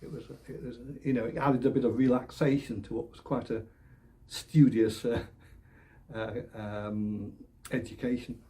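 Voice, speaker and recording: An older man from the north of England, a political candidate answering interview questions in a halting way, often repeating words, with small laughs. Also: mid-range voice, level tone, dark tone. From England, born Leeds, raised Oldham. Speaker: election candidate.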